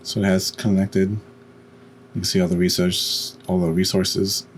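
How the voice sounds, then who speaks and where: Male tutorial narrator, deep register, halting step-by-step instruction, noisy home recording. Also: little pitch movement. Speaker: tutorial host.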